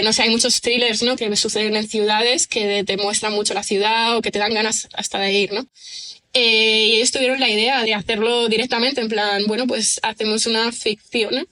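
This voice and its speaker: Woman, bit high voice, bright and tense, keeps saying digamos and no?. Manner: thoughtful, many fillers like "digamos" and "¿no?". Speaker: writer and screenwriter guest. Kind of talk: relaxed podcast conversation.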